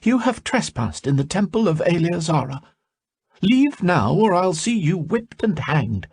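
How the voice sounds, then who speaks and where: A man voicing a frightened, imperious female temple priestess in a shrill, pleading voice. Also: very animated, unhurried, darkish tone, husky, full character voice. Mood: demanding.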